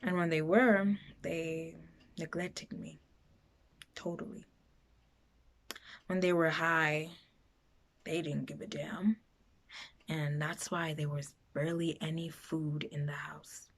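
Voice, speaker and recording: A woman playing a frightened, bitter foster girl in a dramatic confessional monologue, halting and emotional in her delivery. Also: deep voice, little pitch movement, exaggerated voice. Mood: hushed, bitter. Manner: halting, emotional, breaking into whispers and raised outbursts.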